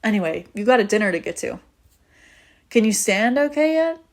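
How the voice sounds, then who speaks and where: A woman's voice: mid-range voice, very animated, normal speed, a little mellow. Wry, teasing young vampire woman, acted by a woman. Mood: brisk, wry. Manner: casual, wry, teasing, swearing freely. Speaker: audio roleplay voice actor. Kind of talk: intimate scripted audio roleplay, conversational.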